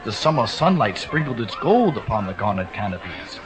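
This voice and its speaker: A man narrating a classic short story with expressive, dramatic storytelling. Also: fairly low pitch, normal speed, over music, low-quality recording. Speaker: audiobook narrator. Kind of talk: scripted narration.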